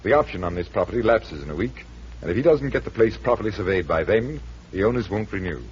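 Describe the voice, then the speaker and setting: Man playing firm stage-magician detective, theatrical, lively intonation, 1940s radio acting, faint hiss. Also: on the low side, quick pace, close to an everyday voice. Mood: calm, guarded. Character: resolute, commanding male magician detective. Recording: muffled vintage radio, faint noise.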